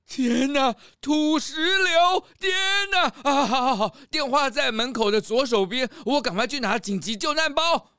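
A man's voice: extremely high pitch, animated, measured pace, hardly any pauses, sharp tone, clear, resonant tone, no room sound, studio quality, full character voice. A man with a Taiwanese accent playing a kindly, eager-to-please butler in a children's audio drama, courteous and genial. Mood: panicked.

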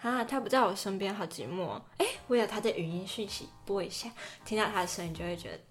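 A woman's voice: mid-range voice, fast, soft background music. A young adult woman with a Taiwanese Mandarin accent co-hosts a casual podcast, telling stories animatedly and acting out the lines. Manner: animated storytelling, acting out quotes and inner thoughts. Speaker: podcast co-host. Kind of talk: casual podcast chat with personal anecdotes.

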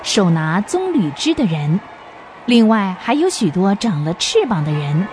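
A woman's voice: medium pitch, sing-song, measured pace, darkish tone, a little hiss or hum. A woman narrating a radio drama as a storyteller, reading from a script.

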